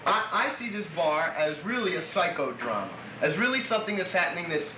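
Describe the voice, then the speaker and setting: A man's voice: high voice, animated, brisk. A young man arguing bluntly and profanely at a heated meeting, rambling with restarts. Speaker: co-op member at a meeting. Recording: echoey room, noisy old tape.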